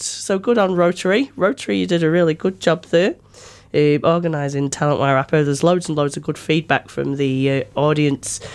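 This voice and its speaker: A woman with a New Zealand accent and a slightly croaky voice from being sick, chatting informally as a radio show host. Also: low voice. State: getting over an illness. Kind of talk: casual chatty live radio interview. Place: radio studio. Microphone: studio microphone.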